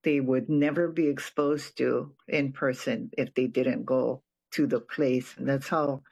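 An older man from Hawaii, a retired professor, giving a reflective personal account with frequent pauses and restarted phrases. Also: medium pitch, fairly even intonation, vocal fry, a little mellow, breathy edge, low-quality recording. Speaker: retired professor and nonprofit board president.

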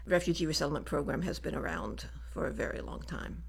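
An older woman who grew up in Lebanon, talking in a radio station studio. Her voice carries a gravelly, fry-tinged edge, and she explains things in a steady, measured way. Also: deep voice. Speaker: refugee program director, guest. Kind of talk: radio interview.

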